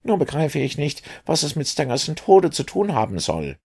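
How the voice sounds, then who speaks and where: A man's voice: a little high, huge pitch swings, moderate pace, creaky, a little mellow, breathy edge, voice pushed up, heavily acted. A man voicing a skeptical, blunt police inspector who reports the facts in a matter-of-fact, slightly mocking way. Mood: dismissive.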